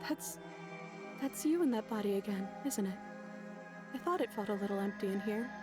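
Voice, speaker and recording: A woman's voice: mid-range voice, animated, moderate pace, over music, close to an everyday voice. A woman playing a captive young princess in a horror visual novel, composed and coaxing despite her wounds. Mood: wary, pleading. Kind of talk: dramatic visual novel voice acting.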